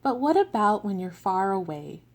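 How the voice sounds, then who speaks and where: A woman reading the lines of a small, grumpy young child in a picture book, in a soft, slow storytime voice. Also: medium pitch, big pitch swings. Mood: wondering.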